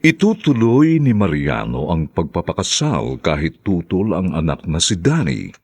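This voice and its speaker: A man's voice: low-pitched, very animated, measured pace, darkish tone, slightly rough, dry recording. A man announcing a radio drama, introducing the episode and reading out the credits in a formal, grand style. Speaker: program announcer.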